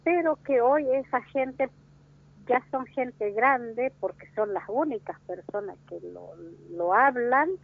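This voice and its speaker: Halting woman on a tinny phone line. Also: on the high side, gravelly. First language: Wichí. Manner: slow, halting, many restarts and fillers. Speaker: interviewee. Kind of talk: interview answer.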